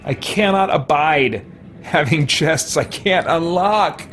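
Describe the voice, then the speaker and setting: A man's voice: on the high side, slow, fairly bright tone, faint music behind. A man giving casual let's play commentary, thinking aloud and muttering to himself as he plays. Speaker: gaming channel host.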